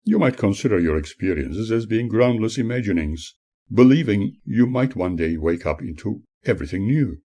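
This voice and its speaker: A man narrating his own spiritual audiobook as its author, in an earnest, preachy manner with measured phrasing and a husky voice. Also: on the low side, lively intonation, dark tone. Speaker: author reading own book.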